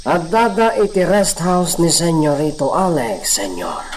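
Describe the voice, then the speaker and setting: A humble male servant in a radio serial. He speaks slowly and deferentially, with an animated, plaintive melody, over a noisy recording. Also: a little high. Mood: hesitant, reporting. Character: Baldo, humble male hacienda servant, loyal but grumbling. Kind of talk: Ilocano radio drama acting.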